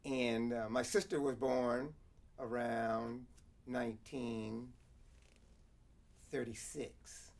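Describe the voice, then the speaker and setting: An American man in his seventies recounting personal history in an interview, with deliberate, measured and formal phrasing. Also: medium pitch, level tone, vocal fry, a little mellow. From Connecticut, USA. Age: 70s. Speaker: interviewee, former attorney.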